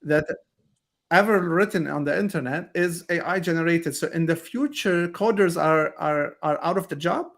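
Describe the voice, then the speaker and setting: Earnest, slightly high-voiced male host. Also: normal speed, vocal fry. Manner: earnest, with frequent restarts. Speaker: podcast host. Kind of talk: interview questions and commentary.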